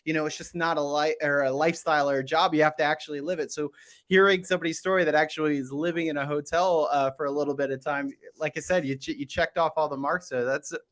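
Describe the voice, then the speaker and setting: A man's voice: fairly high pitch, steady tone, fast talker, fairly bright tone. A man hosting a podcast, upbeat and chatty, introducing a guest and asking questions with plenty of uhs and restarts. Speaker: podcast host.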